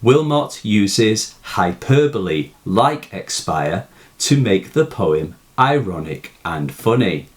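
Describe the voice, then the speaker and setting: A man giving a scripted, explanatory poetry lesson in a measured, formal manner. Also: lowish voice, sing-song. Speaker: poetry teacher. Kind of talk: scripted explanatory narration of a poem analysis.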